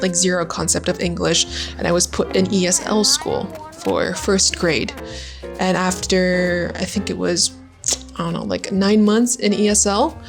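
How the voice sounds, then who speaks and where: A young woman whose first language is Vietnamese, explaining language learning as an English tutor. She talks in a friendly, chatty way at a middle pitch, with loose fillers here and there. Soft music plays faintly behind her. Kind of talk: casual YouTube lesson talk.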